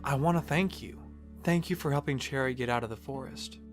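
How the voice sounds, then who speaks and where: A man's voice: medium pitch, a little fast, over music, lightly acted. A man voicing a young stallion, an older brother who is anxious, earnest and a little stammering.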